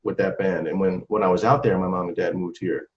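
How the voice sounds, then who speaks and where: A man in his forties talking about his music career in a casual interview, animated and full of restarts and repeated words. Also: sits low, brisk. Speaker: trumpeter and singer, interview guest. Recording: dull lo-fi sound.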